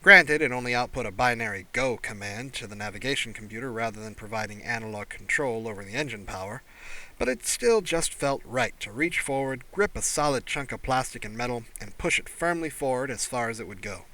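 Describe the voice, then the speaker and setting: A man reading scripted science fiction as an audiobook narrator, in a measured, deliberate way. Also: mid-range voice, lively intonation, bright, forceful tone, low-quality recording.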